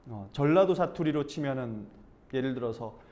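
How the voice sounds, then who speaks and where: A man from Busan giving an informal class talk as a speech and expression instructor, animated and slipping into acted-out dialect examples. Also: mid-range voice, normal speed. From Busan, South Korea.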